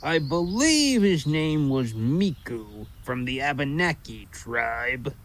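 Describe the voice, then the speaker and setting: A man's voice: medium pitch, big pitch swings, slow. A man voicing a boastful, grumpy giant talking squirrel in an audio drama, with a blustering storyteller's manner. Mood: boastful, storytelling. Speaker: voice actor. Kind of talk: audio drama character acting.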